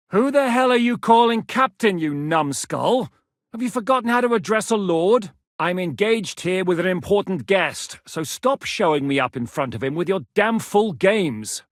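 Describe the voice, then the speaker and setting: A man's voice: sits high, fairly bright tone, no room sound, studio quality, heavily acted. A man voicing a shrewd, commanding medieval Czech mercenary lord in a video game, speaking with blunt authority. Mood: irritated, scolding. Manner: authoritative, blunt, swings from measured to barking. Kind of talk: dramatic video game dialogue.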